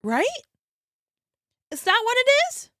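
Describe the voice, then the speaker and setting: Lively chatty woman reacting. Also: very high-pitched, takes it slowly, dry recording, studio quality. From United States. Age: 30s. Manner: chatty, sympathetic, frequent gasps and sighs. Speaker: VTuber streamer reacting to a video. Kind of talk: casual reaction commentary.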